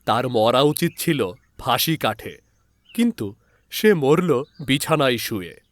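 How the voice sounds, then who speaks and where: A man narrating a scripted horror story in a dramatic, measured storyteller's manner with deliberate pauses. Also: mid-range voice, expressive, a little hiss or hum. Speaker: story narrator and channel host.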